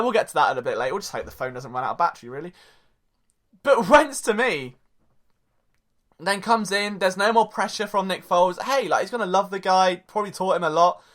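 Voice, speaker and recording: A young man with a high voice hosting a solo sports podcast, rambling and excitable, swinging between loud and soft. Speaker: podcast host.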